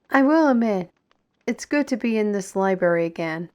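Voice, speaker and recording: Lady playing a proud sharp tongued steward and artist in a fantasy audio play, medium pitch, wry and pointed. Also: clear, resonant tone, close to an everyday voice. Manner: wry, pointed, colorful phrasing. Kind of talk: fantasy audio drama acting.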